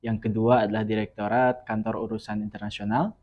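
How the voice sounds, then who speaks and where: A young man from West Java, explaining earnestly and at a measured pace as a student guest on a podcast. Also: on the low side, little pitch movement, phone-quality sound. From West Java, Indonesia. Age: young adult. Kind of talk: podcast interview.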